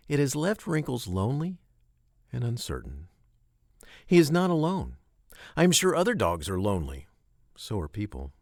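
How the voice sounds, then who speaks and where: A man narrating a children's story from a script, with expressive, emphatic phrasing and short pauses. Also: mid-range voice, dry recording, studio quality.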